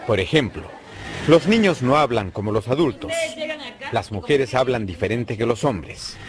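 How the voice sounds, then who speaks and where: A man giving clear, scripted educational narration. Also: mid-range voice, animated, moderate pace. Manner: clear, explanatory.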